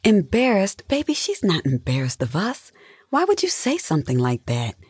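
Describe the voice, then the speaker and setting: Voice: medium pitch, very animated, moderate pace, darkish tone, breathy edge, no room sound, studio quality, voice pushed up. A narrator voicing a patient, placating adult wife in a soothing, conciliatory way. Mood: defensive.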